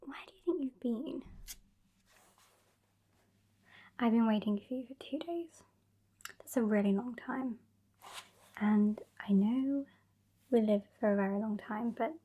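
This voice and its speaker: Woman playing a whispery, slow, sassy British vampire. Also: a little high, narrow, tinny audio. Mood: impatient, scolding. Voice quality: breathy, whispery. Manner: slow, condescending, withering. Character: sassy, haughty, impatient female vampire scolding her weakling fledgling. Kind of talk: ASMR roleplay, whispered and soft-spoken.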